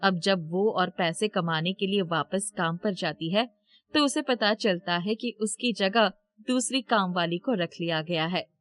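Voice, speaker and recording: A woman's voice: lowish voice, a little slow, a bit bright. A woman storyteller reading scripted narration for a moral tale.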